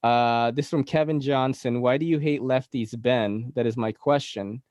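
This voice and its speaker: A man's voice: mid-range voice, fairly even intonation, moderate pace, a little mellow, no room sound, muffled recording. A young adult man with a Filipino accent, hosting a casual podcast in a slangy, laid-back way and laughing often. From Philippines. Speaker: podcast host.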